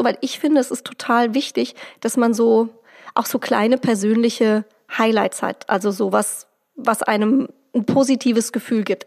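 A woman's voice: a little high, a little mellow. A woman hosting a casual interview, chatty and asking follow-up questions. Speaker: host.

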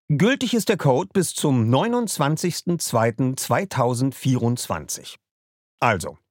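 A man reads a promotional spot in a bright, salesy manner. His pitch leaps around animatedly. The sound is dry and close. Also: dry recording. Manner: lively, salesy. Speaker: ad reader. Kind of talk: upbeat scripted ad read.

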